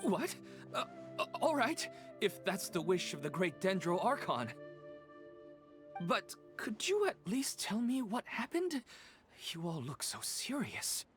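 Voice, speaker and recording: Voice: medium pitch, sing-song, unhurried. An actor playing a polite, deferential event staff member in a video game. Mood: surprised. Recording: game audio with background music.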